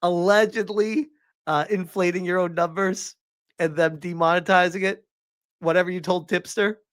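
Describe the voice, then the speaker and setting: A man's voice: sits high, steady tone, normal speed. A man doing casual live reaction commentary in an incredulous, mocking and emphatic way.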